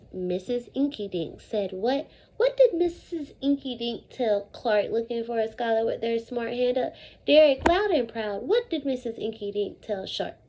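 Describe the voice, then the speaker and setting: A woman teacher leading an interactive lesson for young children, speaking in an animated, repetitive call-and-response way with deliberate emphasis. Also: high-pitched. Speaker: elementary school teacher.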